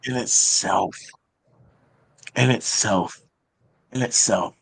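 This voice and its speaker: A man's voice: on the low side, takes it slowly, creaky, husky. A man in his thirties hosting a casual, opinionated panel talk show, speaking bluntly and emphatically and often repeating phrases for weight.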